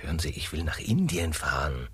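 An actor in a satirical radio play, playing a restless, frustrated young explorer who pleads and insists. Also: male voice, extremely low pitch, sing-song, brisk, fairly bright tone, husky, exaggerated voice. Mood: hushed, insistent. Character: restless, frustrated young Columbus as dreamer and schemer.

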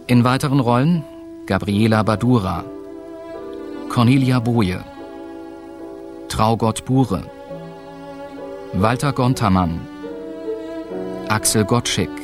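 A man with a husky, breathy voice reading radio drama credits in a measured, sober, formal way. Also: mid-range voice. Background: prominent string music.

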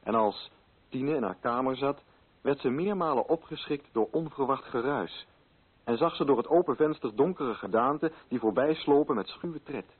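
An actor playing a sober literary narrator, telling a story in scripted radio drama style. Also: male voice, medium pitch, moderate pace, slightly rough, lightly acted. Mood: sober, solemn. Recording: muffled old radio recording.

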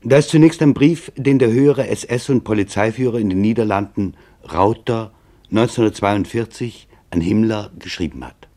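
A man with a fairly low voice narrates a documentary radio play. He reads from a script gravely and deliberately, setting phrases apart with weighted pauses. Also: dark tone.

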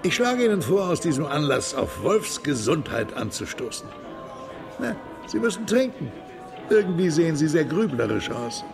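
A man's voice: a little high, sing-song, normal speed, vocal fry, over music. An actor playing a Russian émigré ex-cavalryman who reminisces animatedly in a German radio drama. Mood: convivial.

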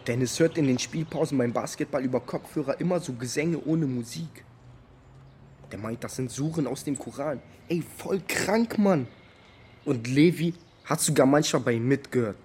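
An actor playing a blunt teenage buddy who talks in street slang, in a naturalistic radio drama. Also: male voice, medium pitch, normal speed, a little mellow, a little hiss or hum, close to an everyday voice. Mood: bewildered, put out. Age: young adult.

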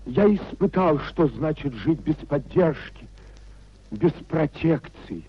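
Humbled, husky nephew, slow and halting. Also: male voice, on the high side, animated, heavily acted. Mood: ashamed pleading. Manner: halting, apologetic, declamatory. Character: humbled idealist nephew, poor, ashamed petitioner. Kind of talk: 1950s theatrical radio drama acting. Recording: dull 1950s radio recording.

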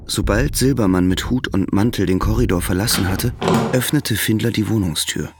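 An actor playing the sober third-person narrator of a radio drama, reading scripted storytelling. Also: male voice, lowish voice, normal speed, background noise, natural voice. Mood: sober, narrating.

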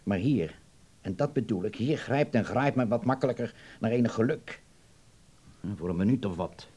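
Mellow, fatherly older landlord. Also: fairly low pitch, lively intonation, breathy edge. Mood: grave, concerned. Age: middle-aged or older. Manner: measured, hesitant, formal. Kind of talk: radio play acting.

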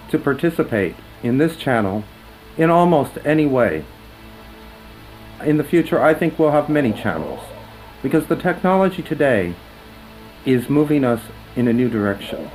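A man making a measured, earnest public address in declarative sentences. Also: medium pitch, low-quality recording. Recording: clear music under the voice.

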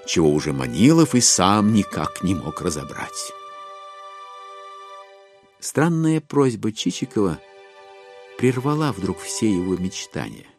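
A man narrating a literary audio drama in an expressive storytelling manner. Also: fairly low pitch, exaggerated voice.

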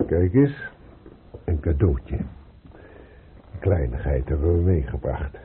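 A man's voice: extremely low pitch, lively intonation, slow pace, heavily acted. An actor playing a doting man at a sickbed in a radio play, coaxing in short, simple phrases. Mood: gently coaxing. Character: doting man visiting a sick woman, gently coaxing. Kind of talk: radio drama acting. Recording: dull lo-fi radio drama, faint noise.

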